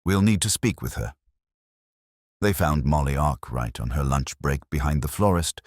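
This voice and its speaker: A man narrates a scripted mystery in a very low register. His delivery moves expressively up and down, with a smooth, resonant tone and no room sound. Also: dry recording, clean studio sound. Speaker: narrator and audiobook-style reader.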